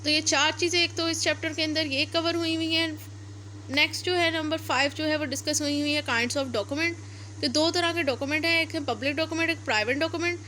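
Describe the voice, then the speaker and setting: A woman's voice: high-pitched, fairly even intonation, brisk, pushed and bright, a little hiss or hum. A woman with a Pakistani accent lecturing on law point by point, mixing in English legal terms.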